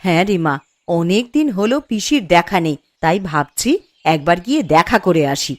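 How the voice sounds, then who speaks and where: A woman's voice: lowish voice, sing-song, moderate pace. A woman voicing a kindly, practical village mother in a cartoon, coaxing and reassuring in the way she talks. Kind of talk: cartoon character dialogue. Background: music.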